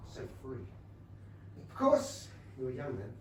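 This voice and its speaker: An actor playing a boyishly enthusiastic, rich young Regency gentleman with an English accent in a staged comedy reading. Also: medium pitch, huge pitch swings, unhurried. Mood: gushing, excited. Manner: eager, gushing. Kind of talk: staged reading of a comic play. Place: small theatre stage. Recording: roomy theatre, distant mic, faint noise.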